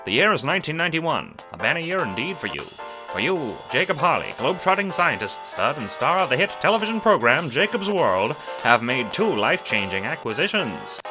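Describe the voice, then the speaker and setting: A man's voice: a little high, sing-song, fairly quick, music in the background, rough recording. A man narrating a scripted second-person story in a theatrical, wry manner. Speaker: narrator of an audio drama.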